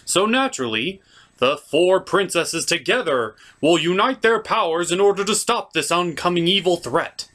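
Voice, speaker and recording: Guy doing a sarcastic movie recap, casual reviewer narration, really animated pitch. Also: moderate pace. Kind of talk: comic movie recap with puppets.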